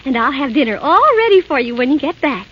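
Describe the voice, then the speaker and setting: A sweet young princess speaks in a very high, girlish voice that swoops up and down. It is fairy-tale acting from 1930s radio, with dull, noisy sound. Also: sing-song, fast. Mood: sweet, cheerful.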